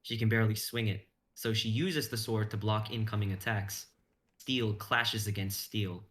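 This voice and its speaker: Low-voiced young man narrating dramatically. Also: fairly even intonation, moderate pace, a bit bright. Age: young adult. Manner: intense, suspenseful storytelling. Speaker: stream host and narrator.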